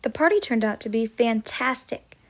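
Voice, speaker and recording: A woman's voice: a little high, animated, moderate pace, very noisy. A woman storyteller reading a picture book aloud for children, as a teacher would.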